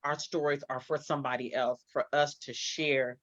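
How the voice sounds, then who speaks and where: A woman hosting an online interview show, warm and encouraging, who calls her guest "sis". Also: a bass voice, flat delivery, normal speed, no echo. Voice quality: speaks from personal experience of hair loss. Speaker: host. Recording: dry, muffled sound.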